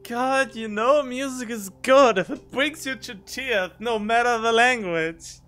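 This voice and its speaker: High-pitched, unhurried man commenting on a game. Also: soft background music. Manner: emotional, expressive, talks in halting bursts. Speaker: game streamer. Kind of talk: casual reacting commentary over a game.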